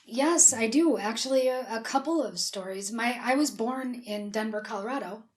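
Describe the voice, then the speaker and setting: Raspy-voiced woman telling her story. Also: normal speed. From South Dakota. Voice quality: raspy, breathy low voice. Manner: chatty, open storytelling. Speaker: whistleblower guest. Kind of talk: personal interview. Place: studio.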